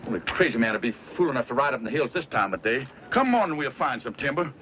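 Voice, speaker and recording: An actor playing a gruff, seasoned American wagon scout in an old time radio western, talking in plain, commanding frontier dialect. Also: male voice, medium pitch, sing-song, fast, sharp tone. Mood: stern warning. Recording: dull, noisy old radio recording.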